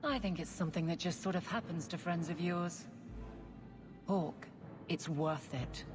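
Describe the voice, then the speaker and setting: A woman's voice: fairly low pitch, a little slow, music in the background, lightly acted. A woman playing a tough, dry, loyal guard captain in a fantasy video game. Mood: dry, resolute. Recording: echoing space, noisy ambience.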